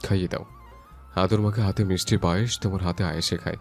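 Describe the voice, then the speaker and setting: A man playing a brooding, gruffly tender young newlywed hero in an audio love story. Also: low-pitched, steady tone, quick pace, a little mellow, soft background music. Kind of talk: Bengali audio story acting.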